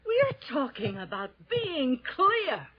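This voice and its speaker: An actress playing a scientist's neglected wife, bitter and accusing, in an old radio drama. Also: female voice, sits high, big pitch swings, slow pace, full character voice. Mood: furious. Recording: dull lo-fi radio drama recording.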